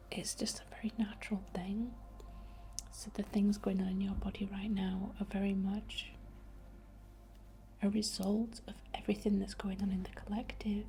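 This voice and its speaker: A woman speaking in a soft, breathy whisper, gently guiding a soothing, unhurried ASMR healing ritual. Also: mid-range voice. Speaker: ASMR host. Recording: faint background noise.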